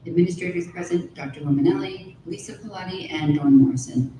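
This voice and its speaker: A woman's voice: brisk, warm tone. A woman formally reading a list of finance committee items at a school board meeting. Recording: echoey room from far off, faint rumble.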